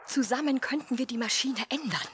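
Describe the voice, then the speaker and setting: An actress playing a quiet, persuasive woman in a radio drama, with speech that often slips into a breathy whisper. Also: high voice, a little hiss or hum. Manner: intimate, often hushed and breathy, slipping into whispers. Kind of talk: 1980 German radio drama acting.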